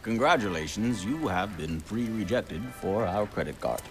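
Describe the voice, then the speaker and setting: A man's voice: fairly low pitch, lively intonation, normal speed, over music. A man playing a sardonic member of a hacker crew in a video game. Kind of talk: video game cutscene acting.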